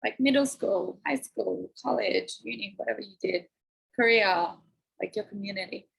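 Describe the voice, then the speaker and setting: A woman life coach chatting effusively on a livestream, her voice croaky and hoarse. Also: medium pitch, moderate pace, poor audio. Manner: bubbly, effusive, lots of "like", coughing. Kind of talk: casual livestream chat. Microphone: phone or laptop livestream.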